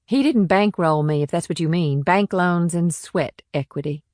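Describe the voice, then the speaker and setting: A woman's voice: fairly low pitch, normal speed, darkish tone, no room sound, clean studio sound, close to an everyday voice. A woman playing a confident, proud and flirtatious innkeeper in audiobook dialogue, with a poised, self-assured manner. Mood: guarded. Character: confident, proud, flirtatious businesswoman running an upscale inn.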